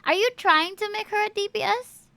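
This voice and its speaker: A woman live-streaming a game, chatting casually with viewers and reading out stats in an animated way, with frequent exclamations and asides. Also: very high voice, brisk, vocal fry, dry recording. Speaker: live streamer reviewing players' game characters.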